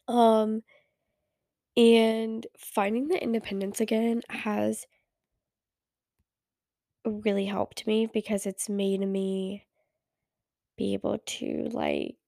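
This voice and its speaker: A woman's voice: medium pitch, sing-song, takes it slowly, creaky, long pauses, mellow, no echo, studio quality. A teenage girl hosting a casual solo podcast, rambling with many fillers, her voice stuffy from a cold. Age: teens. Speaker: podcast host.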